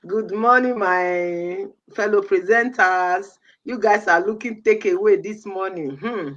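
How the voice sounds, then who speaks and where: A middle-aged woman from Nigeria hosting a live stream, animated, exclaiming and repeating her greetings. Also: mid-range voice, unhurried, muffled recording. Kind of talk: lively live-stream talk.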